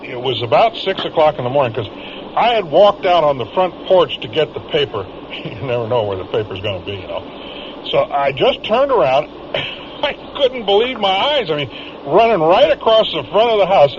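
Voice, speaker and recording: An actor playing a plainspoken small-town coal dealer in his fifties, baffled and halting, in a vintage radio drama. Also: on the high side. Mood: bemused. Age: 50s. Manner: folksy, halting, exasperated. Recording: dull, noisy vintage radio recording.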